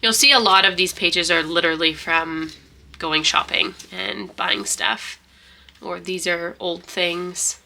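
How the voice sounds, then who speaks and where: A woman's voice: on the low side, steady tone, slow pace, creaky, bright, forceful tone. A young woman with a stuffy, congested voice, chatting casually and rambling through a journal flip-through. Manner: rambling, chatty, many fillers and restarts. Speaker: journaling vlogger.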